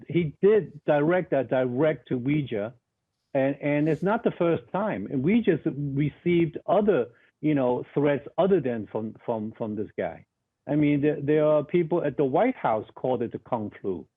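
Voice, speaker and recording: A man's voice: fairly high pitch, lively intonation, a little slow, slightly rough. A man interviewed as a guest over a remote line, answering emphatically and bluntly, often repeating words as he starts a sentence. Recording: tinny phone-like remote line.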